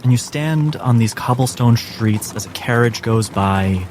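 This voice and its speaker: A man narrating a tabletop fantasy game as game master, in a measured storytelling manner. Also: medium pitch, darkish tone, a little hiss or hum. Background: music.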